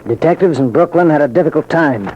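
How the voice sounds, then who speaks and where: Man, police commissioner narrator, formal, measured, fairly high pitch, old-time radio, faint hiss. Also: animated, darkish tone. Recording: dull, hissy vintage radio recording.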